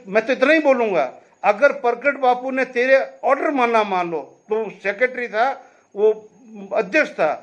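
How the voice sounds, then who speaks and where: High-voiced emphatic man speaking to camera. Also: muffled recording. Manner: emphatic, addresses listeners directly; repeats a tag question often. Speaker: veterans' rights commentator. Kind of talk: direct-to-camera commentary.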